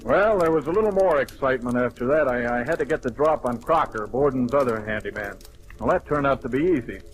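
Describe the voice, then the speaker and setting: An actor playing a wisecracking private detective, with dry, sardonic delivery in old radio noir style. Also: male voice, medium pitch, animated, quick pace, lightly acted. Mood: dry, wry. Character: wisecracking, hard-boiled male private detective narrating his case. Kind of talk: old-time radio noir narration and acting. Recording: noisy old radio recording.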